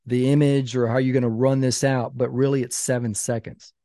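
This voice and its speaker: A man in his fifties, a podcast guest and author, talking in an animated, emphatic storytelling way. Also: medium pitch, a little fast, no echo, studio quality. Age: 50s. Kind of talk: casual podcast conversation.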